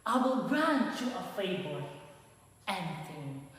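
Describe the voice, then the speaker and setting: A performer playing a stern but admiring god of death, making slow, formal, commanding declarations in a reader's theater play. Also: mid-range voice, big pitch swings, fairly bright tone. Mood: grave, admiring. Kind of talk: reader's theater stage acting. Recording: very echoey hall, long reverb.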